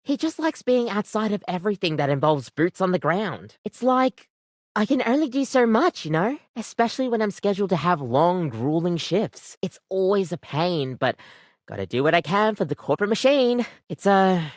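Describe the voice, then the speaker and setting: A woman's voice: mid-range voice, animated, moderate pace, no echo, clean studio sound, natural voice. A woman voicing an overworked, apologetic barista in a video game, chatty, rambling and self-deprecating, with a weary devotion to the job. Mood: weary, earnest. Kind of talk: video game character acting, conversational.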